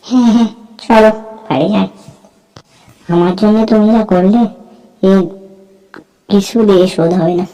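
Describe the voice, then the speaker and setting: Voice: mid-range voice, moderate pace, long pauses, mellow, lightly acted, low-quality recording. A performer voicing a young village woman lost in a jungle, grateful and insistent, in amateur cartoon dialogue. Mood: relieved, grateful.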